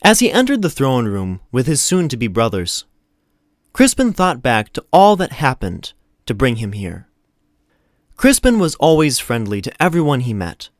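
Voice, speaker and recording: A man narrating a scripted story in an expressive, animated storytelling manner. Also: medium pitch, no room sound, studio quality. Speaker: audiobook narrator.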